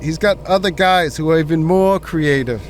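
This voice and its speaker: A man's voice: fairly high pitch, moderate pace, a little hiss or hum, close to an everyday voice. A man playing a cruel, taunting gangster henchman interrogator in a noir audio drama, talking in tough-guy slang. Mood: threatening.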